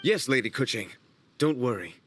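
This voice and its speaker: A man's voice: medium pitch, expressive, normal speed, a bit bright, slightly rough, soft background music. An actor playing a polite, dutiful young workman in a fantasy video game, deferential and eager. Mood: polite, hurried. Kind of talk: video game dialogue acting.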